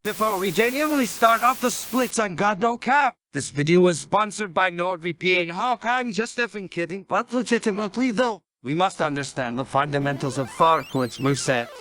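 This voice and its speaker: A man's voice: high-pitched, lively intonation, normal speed, hardly any pauses, fairly bright tone. A man hosting a video game tutorial, narrating in a playful, exaggerated style full of internet slang, with music behind him. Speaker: gaming tutorial host.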